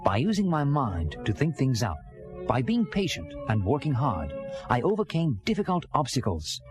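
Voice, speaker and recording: A man's voice: mid-range voice, moderate pace. An actor playing an earnest castaway adventurer who tells his story theatrically, in the manner of a dramatized children's read-along. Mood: earnest, reflective. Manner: animated, theatrical delivery. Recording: vintage record with music behind. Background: music.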